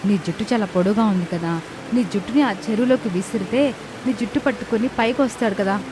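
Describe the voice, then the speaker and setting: A woman's voice: medium pitch, fairly quick, background noise, lightly acted. A woman playing earnest, caring young village sisters in a moral story cartoon, with a dramatic delivery. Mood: urgent. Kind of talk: animated moral story dialogue. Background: background music.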